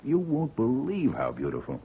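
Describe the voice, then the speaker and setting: A man playing a smooth middle-aged lawyer in a 1950 radio drama, genial and chatty, quietly scheming. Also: mid-range voice, huge pitch swings, normal speed. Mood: tender. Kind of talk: 1940s radio acting. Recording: tinny faint-hiss old radio recording.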